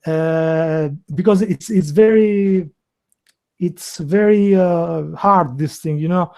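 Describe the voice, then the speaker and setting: A man in his thirties from Albania, a native Albanian speaker with an Albanian accent, hosting a live online video interview in a halting, hesitant way with many fillers and restarts. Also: high voice, a little mellow. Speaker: host.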